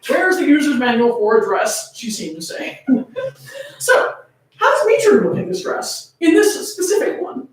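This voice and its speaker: A woman's voice: sits high, sing-song, moderate pace. A woman giving a dramatic, comedic reading of fan fiction, with an amused manner. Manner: amused, often breaking into laughter while reading. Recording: echoey room, distant microphone.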